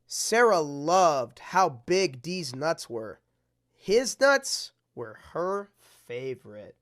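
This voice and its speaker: A storytime host reading a picture book aloud in an exaggerated storybook manner with deadpan asides. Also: mid-range voice, extremely slow.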